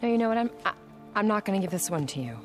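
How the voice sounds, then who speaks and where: An actress playing a brisk, guarded, determined camp scientist in a video game, earnest in manner. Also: female voice, mid-range voice, animated, faint music behind, close to an everyday voice. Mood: firm.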